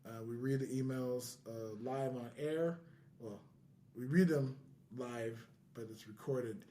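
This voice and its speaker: A man's voice: medium pitch, slow pace, mellow. A middle-aged man hosting a podcast in casual chat, joking and interrupting himself as he goes. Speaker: podcast host. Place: indoors, in person with co-hosts.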